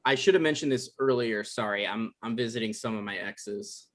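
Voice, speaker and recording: A man's voice: on the low side, normal speed, natural voice. Guy playing anxious apologetic man, stammers, gets defensive, natural table read drama. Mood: flustered, apologetic. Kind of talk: live table read acting.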